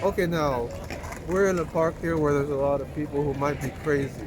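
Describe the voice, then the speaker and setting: Higher-pitched male interviewer, noisy outdoors. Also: brisk. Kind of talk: casual street interview questions. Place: outdoors in a city park. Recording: outdoor recording with clear traffic noise.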